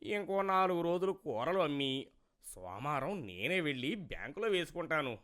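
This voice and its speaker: A man's voice: on the high side, sing-song, moderate pace, a bit bright. A man voicing a greedy, wheedling village vegetable seller in a cartoon moral story, with a plaintive manner. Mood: pleased. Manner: rustic, colloquial, plaintive way of talking.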